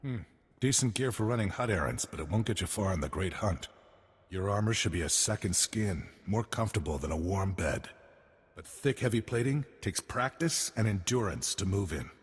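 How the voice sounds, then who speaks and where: Deep, raspy veteran combat trainer. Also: male voice, animated, normal speed. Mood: instructive. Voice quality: husky, raspy. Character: gruff, patient male armor trainer. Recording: long reverb.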